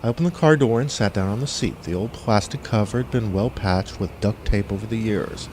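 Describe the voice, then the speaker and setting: A man's voice: on the low side, brisk, background noise. A man reading his own scripted first-person fiction as an audiobook narrator.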